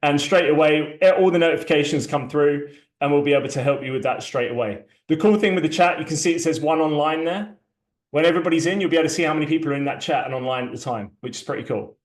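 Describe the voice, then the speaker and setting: A man's voice: fairly high pitch, fast. A man hosting a community launch, talking casually through a shared screen, loose and chatty with many fillers and restarts. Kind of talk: casual live walkthrough, screen sharing. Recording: echoey room sound.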